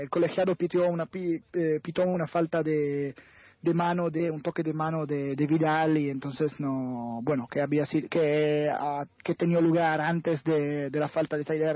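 A man's voice: fairly high pitch, no room sound. A man whose first language is Italian, analysing football on a radio show in Spanish, hesitating often and searching for words. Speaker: guest football commentator. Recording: dull, lo-fi radio sound.

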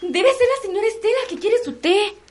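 Woman acting a high-voiced, fearful Mexican housemaid. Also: normal speed, slight background noise, lightly acted. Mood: frightened. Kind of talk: student radio soap opera acting.

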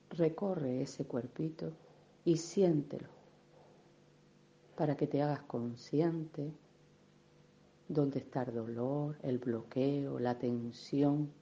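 A woman leading a guided meditation in a gentle, unhurried, soothing manner, with affectionate little diminutives. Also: very deep voice, muffled recording. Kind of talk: guided meditation, soft instruction.

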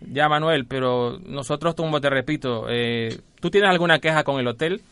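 A man's voice: mid-range voice, normal speed, fairly bright tone, smooth, dry recording, lightly acted. A man playing a polite, insistent hotel administrator on a prank call, officious and apologetic as he repeats himself. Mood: politely insistent. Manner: officious, apologetic, repetitive, hesitant phrasing. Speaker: radio prank caller. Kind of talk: prank phone call, improvised role-play.

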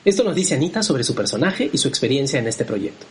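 A man's voice: medium pitch, very animated, fast, darkish tone. A man host and director introducing a radio play in a heartfelt, scripted way.

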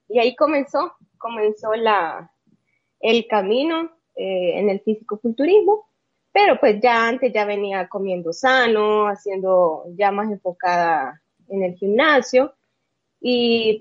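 Slow, halting Salvadoran woman in her thirties. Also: mid-range voice, highs cut off. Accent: Salvadoran Spanish. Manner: halting, many hesitations and trailing phrases. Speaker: podcast guest, amateur bodybuilder. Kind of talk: casual interview answers.

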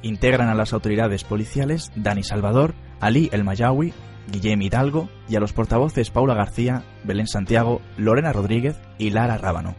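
A man's voice: lowish voice, brisk, a little mellow, soft background music. A man giving scripted narration and cast credits for a radio drama.

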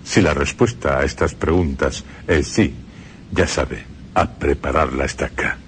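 A man's voice: a bass voice, measured pace, slight background noise. A man with a Castilian Spanish accent presenting dramatized radio narration in a theatrical, questioning manner.

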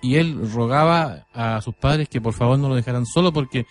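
A man with a Chilean accent telling stories unscripted on radio in a halting, deliberate way, repeating phrases. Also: medium pitch, slight background noise. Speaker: radio co-host and storyteller.

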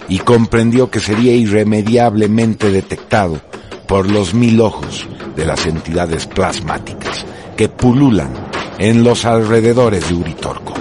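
A man's voice: on the low side, a little slow, background noise. A man narrating a radio drama from a script in a grave, declamatory manner. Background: prominent music.

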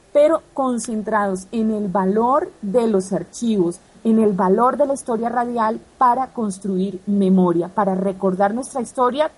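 A woman's voice: medium pitch, a little mellow, husky, rough recording. A Colombian woman answering interview questions in a didactic, explanatory way, laying out her points one by one. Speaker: radio archive representative being interviewed.

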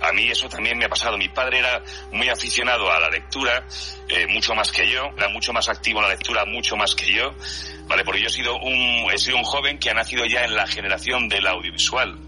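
Bright-voiced middle-aged man from Spain. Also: fairly low pitch, fast talker, soft background music. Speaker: interview guest, horror story channel narrator. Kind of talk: reflective interview talk.

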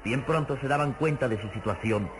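A man's voice: medium pitch, fairly quick, natural voice, low-quality recording. Actor doing old radio drama narrator guy, grave n suspenseful, florid, music behind him.

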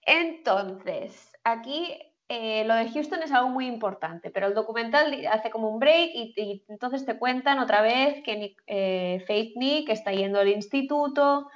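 A woman's voice: a little high, measured pace, few pauses. Brief: woman co-host, chatty, frequent laughs, dull lo-fi recording. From Philippines. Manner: chatty, laughs often, many fillers. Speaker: podcast co-host. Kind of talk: casual chat.

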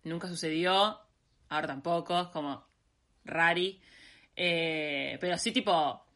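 A woman's voice: lowish voice, unhurried, a bit bright. A woman in her twenties with a Rioplatense Argentine accent, chatting as a podcast co-host in a wry, self-deprecating, playful way. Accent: Rioplatense Spanish. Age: 20s. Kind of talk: casual chat between friends.

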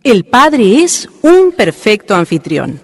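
A woman with a Chilean accent narrating and announcing a community radio drama in a measured way. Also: medium pitch, big pitch swings, slight background noise.

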